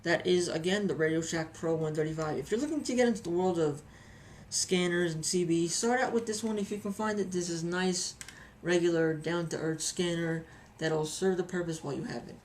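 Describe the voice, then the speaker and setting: Chatty woman vlogger, slightly low voice, light noise. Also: a little fast, a little mellow. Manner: chatty, repeats phrases for emphasis. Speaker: hobbyist video reviewer. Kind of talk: casual vlog review with anecdotes.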